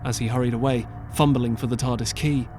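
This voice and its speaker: A man's voice: medium pitch, fairly even intonation, darkish tone. Dramatic male audiobook narrator over music. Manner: measured, earnest, dramatic pauses. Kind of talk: dramatic scripted storytelling. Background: clear background music.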